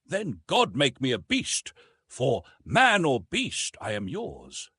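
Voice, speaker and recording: A man's voice: medium pitch, wildly swooping pitch, slow, pushed and bright, husky, no room sound, voice pushed up, full character voice. A man voicing an earnest, passionate young woman in an audiobook, breathless and vehement. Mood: anguished devotion.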